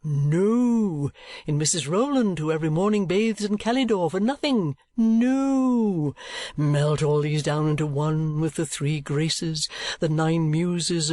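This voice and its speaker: A woman voicing a lovesick, mad old gentleman who declaims in grand theatrical rants. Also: on the low side, no room sound, clean studio sound, pitched above the performer's own voice, natural voice.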